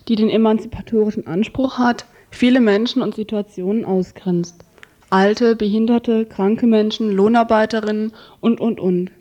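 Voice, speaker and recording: A woman's voice: mid-range voice, mellow. A woman hosting a political radio programme, reading scripted narration. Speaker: radio host.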